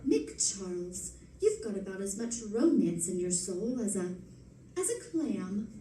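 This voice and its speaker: An actress playing a witty, affectionate, teasing society wife in the style of 1940s radio drama. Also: female voice, mid-range voice, big pitch swings, measured pace, dark tone, a little hiss or hum. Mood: playful teasing. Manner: teasing, arch delivery. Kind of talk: live recreation of 1940s radio acting. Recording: roomy stage recording from a distance.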